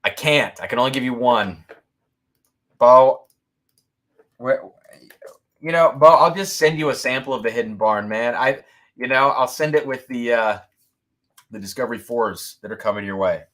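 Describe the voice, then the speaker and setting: A man hosting a whiskey-tasting livestream, talking loudly and excitedly, laughing often and reading viewer comments aloud into a boom mic. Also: normal speed, long pauses. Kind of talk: casual live-stream chat. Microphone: boom mic moved back from mouth.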